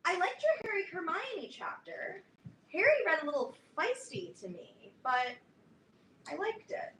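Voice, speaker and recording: A woman in a staged reading playing a brisk, dryly critical teenage girl who leads a club. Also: very high-pitched, sing-song, a bit bright, exaggerated voice. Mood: brisk, tactful. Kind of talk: staged reading of a play, naturalistic dialogue. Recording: roomy, distant mic, faint noise.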